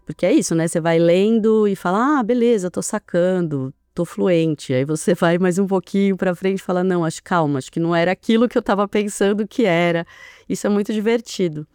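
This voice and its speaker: A woman's voice: lowish voice, fairly quick, darkish tone, clear, resonant tone, no echo, studio quality. A Brazilian woman podcast host with a Brazilian Portuguese accent, friendly and appreciative toward her guest. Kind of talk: friendly interview hosting.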